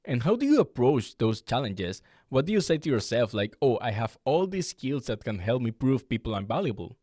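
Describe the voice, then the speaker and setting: A male host asks his questions in a friendly, careful way. His pitch rises and falls a lot. The recording is close and dry. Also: sing-song, moderate pace, dark tone, no echo. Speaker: podcast host, student interviewer. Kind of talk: interview questions.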